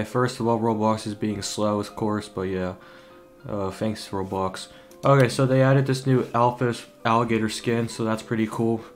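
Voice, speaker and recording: Casual, sweary young male gamer. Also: lowish voice. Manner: informal, sweary, exclamatory. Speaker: gaming YouTuber. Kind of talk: casual game reaction commentary.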